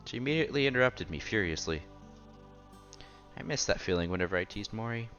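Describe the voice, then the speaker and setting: A man reading a visual novel aloud as a streamer, with playful, casual asides and quiet game music behind him. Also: fairly low pitch, lively intonation, fast talker. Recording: slightly dull, faint game music behind.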